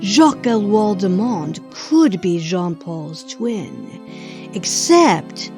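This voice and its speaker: A woman reading scripted, dramatic promotional narration in an ominous, measured manner. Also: medium pitch. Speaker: trailer narrator.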